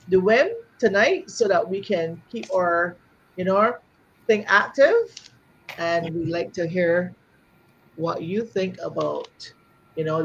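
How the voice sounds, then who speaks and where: A woman's voice: fairly low pitch, lo-fi sound, a little hiss or hum. A woman from Barbados, hosting a live podcast stream in an easygoing, rambling chat full of fillers. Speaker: podcast host.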